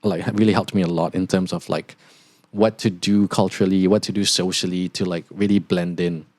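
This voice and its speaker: A young man from Singapore with a softened Singaporean accent, hosting a casual podcast monologue and rambling with many "like" fillers. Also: sits low, flat delivery, moderate pace, dry recording. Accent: Singaporean, partly neutralized toward Australian. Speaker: podcast host, international student.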